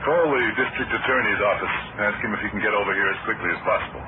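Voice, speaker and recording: Man acting stern, low-voiced surgeon, muffled radio. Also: quick pace, natural voice. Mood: urgent, commanding. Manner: clipped, formal, commanding. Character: stern, authoritative male surgeon, indignant under suspicion. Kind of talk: old-time radio drama acting. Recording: muffled, very noisy old radio recording.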